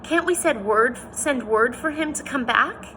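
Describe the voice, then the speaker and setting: A desperate young woman, her voice pitched high and pleading, bright and tense at the edges, as a teacher reads her part aloud. A faint room hum sits under the voice. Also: lively intonation, unhurried, full character voice. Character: young woman from the city, earnest, easily upset.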